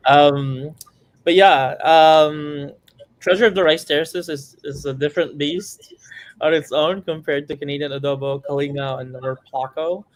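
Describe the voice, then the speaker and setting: A man's voice: on the high side, fairly even intonation, measured pace, no room sound, poor audio. A young man from the Philippines, a filmmaker speaking reflectively as an interview guest, with frequent restarts. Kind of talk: casual interview.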